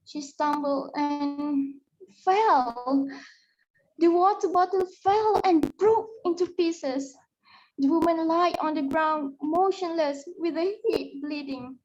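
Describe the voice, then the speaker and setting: A woman playing a storyteller who recounts a sad ghost tale in a halting, emotive dramatic reading. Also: darkish tone. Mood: grave, sorrowful. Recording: muffled low-fidelity audio.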